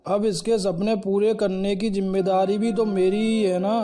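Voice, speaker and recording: Man acting a bright, high-voiced earnest young husband. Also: flat delivery, moderate pace, faint music behind, lightly acted. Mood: supportive. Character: devoted, earnest young husband, an obedient mother's boy. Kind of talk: animated Hindi moral story dialogue.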